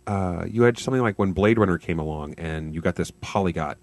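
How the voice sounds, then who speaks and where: A man from Canada in casual conversation, animated and often stumbling over or repeating his words. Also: low voice, brisk, no room sound.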